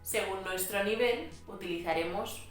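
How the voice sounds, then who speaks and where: A woman's voice: medium pitch, moderate pace, soft background music. Spanish-accented woman teacher in an echoey room. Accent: Spain Spanish. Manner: clear, patient explaining. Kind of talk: educational vlog narration. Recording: echoey room, mic at a distance.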